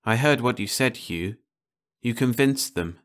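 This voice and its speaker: A man voicing a gentle, affectionate woman who speaks softly. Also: medium pitch, lively intonation, unhurried, voice pushed up. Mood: tender.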